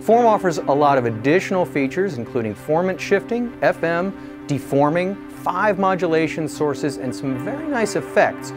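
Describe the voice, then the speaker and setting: A man narrating a scripted product review in a clear, explanatory way. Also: fairly high pitch, sing-song, normal speed, music in the background.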